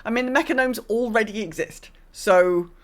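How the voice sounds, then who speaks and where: A woman's voice: a little high, moderate pace. A woman co-hosting a podcast, chatting casually about video games in an informal, opinionated way, with some mild swearing. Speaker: podcast co-host.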